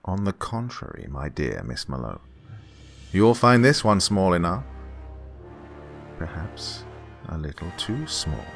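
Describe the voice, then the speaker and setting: A man acts out a falsely genial gentleman villain of radio suspense, low in pitch and very unhurried. His courtesy is oily and insistent, and his delivery swings widely up and down. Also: big pitch swings, gravelly, faint music behind, exaggerated voice. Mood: quietly menacing. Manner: unctuous, over-courteous, insistent. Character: falsely genial, sinister male employer luring a young woman. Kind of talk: old-time radio suspense drama acting.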